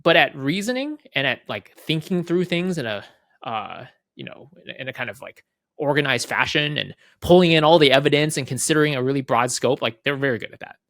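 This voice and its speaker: A man speaking as an interview guest, explaining casually in halting phrases with repeated words and frequent checking tags. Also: medium pitch, animated, fairly bright tone, dry recording, studio quality. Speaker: interview guest, head of product at a software company.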